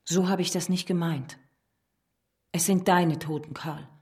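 A woman's voice: lowish voice, steady tone, measured pace, a little mellow. An actress playing a stern, accusing female revolutionary in a radio drama, intense in manner. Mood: reproachful. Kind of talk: German radio drama acting.

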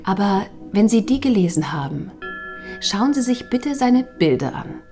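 A woman playing a probing therapist in a German radio drama, speaking in a deliberate, clinical, clipped manner. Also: mid-range voice, sing-song, dark tone, faint music behind. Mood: measured, appraising. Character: measured, probing female therapist assessing a patient.

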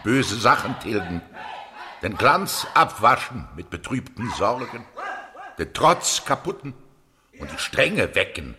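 A man's voice: lowish voice, big pitch swings, slow pace, crowd noise. An actor in a radio play, playing a solemn man declaiming bitter verse theatrically. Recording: long reverberant hall, music behind. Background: music.

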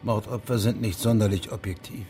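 An actor playing a pedantic, self-righteous middle-aged judge in a radio drama, speaking formally and precisely. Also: male voice, fairly low pitch, steady tone, warm tone, soft background music, natural voice. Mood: stiff, unyielding. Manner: formal, precise, measured, stiff.

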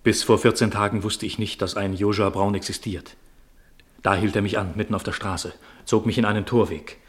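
An actor playing a shunned, anguished suspect, brooding and agitated, in a 1950s German radio play. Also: male voice, on the low side, steady tone, brisk, lightly acted. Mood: recounting.

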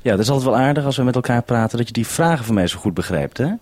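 A man with a Dutch accent from the Netherlands and a husky voice, hosting a radio report and interview. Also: medium pitch, animated, racing, background noise. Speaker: radio host.

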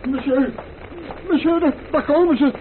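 Super high voiced jumpy innkeeper, servile, tinny noisy old radio drama. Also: male voice, moderate pace. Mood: alarmed.

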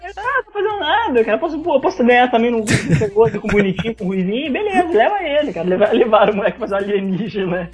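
A man with a Brazilian accent in a casual podcast chat, often doubling up words and starting over in a halting way. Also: very high voice, sing-song, a loud crowd. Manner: halting, repeats words emphatically. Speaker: podcast panelist. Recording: dull lo-fi voice track.

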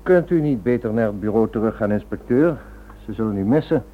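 A man playing a smug, cynical criminal schemer in a radio play, suave and mock-polite with quiet menace. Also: on the low side, expressive, a little fast, a little hiss or hum, lightly acted. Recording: thin narrow-band old radio drama recording.

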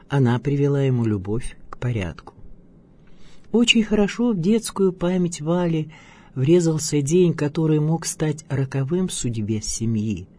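A man's voice: on the high side, a little mellow, husky. A man reading a scripted biographical narration as the presenter of a radio programme.

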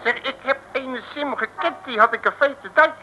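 A man's voice: very high voice, moderate pace, pushed and bright, exaggerated voice, rough recording. An actor playing a cheeky, blustering, streetwise male detective in an old comic radio serial, slangy and jokey. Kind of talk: 1950s-60s comic radio serial acting. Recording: hissy, thin old radio recording.

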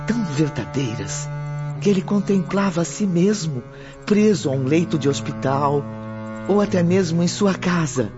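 A man narrating a radio drama in a measured, solemn way. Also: fairly high pitch, lively intonation. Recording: dull recording under loud music.

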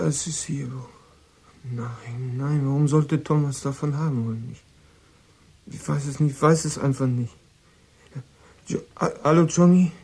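A shaken teenage boy in a radio play. His voice is fairly high for a male, muted and husky. He speaks haltingly and defensively, stumbling over words. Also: darkish tone, full character voice. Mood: hurt, agitated. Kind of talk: radio drama acting.

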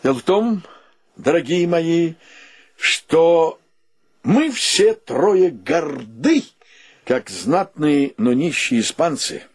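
Proud, down-at-heel bachelor in a radio play, mournful and theatrical. He speaks slowly in a fairly high male voice, with wide, expressive swings, drawing out his words sentimentally. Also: husky, heavily acted. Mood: solemn, theatrical. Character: Podkhodtsev, sentimental, proud, poor bachelor, ringleader of friends. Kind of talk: Soviet radio play acting.

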